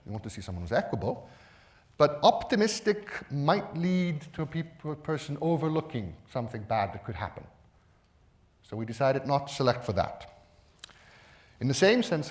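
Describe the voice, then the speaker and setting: A man presenting at a conference in a measured, explanatory manner, stressing key words with emphasis. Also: mid-range voice. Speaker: conference speaker.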